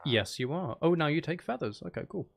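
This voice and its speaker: A man's voice: fairly high pitch, animated, fairly quick, vocal fry. A man chatting casually and thinking aloud as he hosts a live game stream, talking to his viewers.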